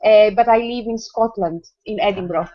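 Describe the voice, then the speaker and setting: A woman's voice: medium pitch, moderate pace, dull audio. A woman from Greece with a Greek accent, chatting hesitantly on a video call with many restarts. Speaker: meeting participant. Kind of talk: casual video call chat.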